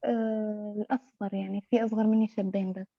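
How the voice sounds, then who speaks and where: A woman's voice: mid-range voice, little pitch movement, very fast, vocal fry, no echo. A woman in her twenties with a Levantine Arabic accent, practicing English hesitantly and repeating after her tutor. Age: 20s. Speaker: student. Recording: dull, dry call audio.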